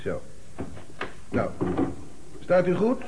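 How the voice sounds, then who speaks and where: An actor playing a methodical, commanding police inspector in a Dutch radio drama, questioning and giving brisk orders. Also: male voice, sits high, huge pitch swings, exaggerated voice. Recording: noisy old radio play recording.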